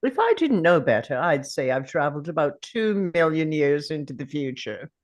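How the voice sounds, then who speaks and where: An ancient time traveller, played by a woman at a script table read, pitched low. The character sounds worn by ages yet stays defiant, as in a television science fiction drama. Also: very animated, moderate pace. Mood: musing.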